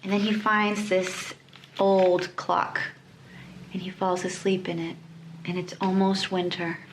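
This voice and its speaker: Slow-talking woman vlogger in an echoey room. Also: on the low side, little pitch movement. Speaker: illustrator and vlog host. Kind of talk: casual vlog chat. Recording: echoey room, clear background noise.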